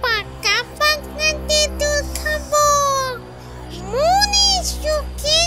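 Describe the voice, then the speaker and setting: A very high, shrill female ghost, gloats and threatens in a slow sing-song full of cackles. She is a gleeful, menacing cartoon spirit, with music clearly playing behind her. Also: expressive, pushed and bright, recorded from a distance, exaggerated voice.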